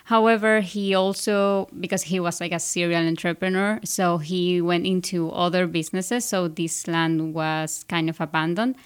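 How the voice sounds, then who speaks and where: A woman's voice: fairly low pitch, steady tone, moderate pace, few pauses, clean tone. A young woman from Ecuador, a graduate student who explains things earnestly on a radio show. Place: radio studio.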